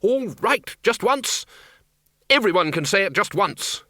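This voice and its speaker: A man voicing a bossy head wizard with a blustering, snappish, loud manner in a storybook reading. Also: high-pitched, sing-song, unhurried, no room sound, clean studio sound, voice pushed up, heavily acted. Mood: bellowing.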